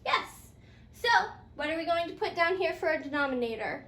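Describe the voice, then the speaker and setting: A woman's voice: very high-pitched, lively intonation, brisk, pushed and bright. A woman teaching a playful fairytale math lesson step by step, encouraging her pupil with sing-song questions and repeated answers. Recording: roomy echo, faint background noise.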